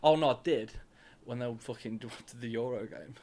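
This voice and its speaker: A young man from England, co-hosting a podcast in casual chat, fast, sweary and teasing. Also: mid-range voice, a little mellow. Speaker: podcast co-host.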